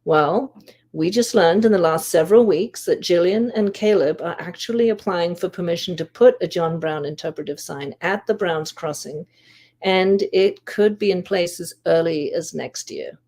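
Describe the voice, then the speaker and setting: Low, creaky-voiced woman presenter. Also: moderate pace, a little mellow. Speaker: volunteer history investigator presenting research. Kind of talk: prepared slide presentation.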